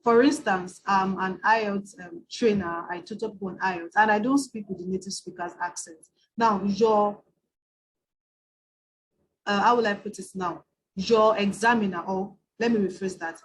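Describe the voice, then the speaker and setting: A woman's voice: medium pitch, steady tone, moderate pace, rough recording. Nigerian woman teaching in a webinar. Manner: conversational, frequent tag question "right?". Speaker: IELTS trainer. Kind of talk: webinar lecture.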